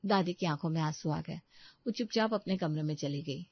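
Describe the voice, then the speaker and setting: A woman's voice: sits low, normal speed, dry recording, dull audio. A woman reading scripted narration of a family story as a storyteller.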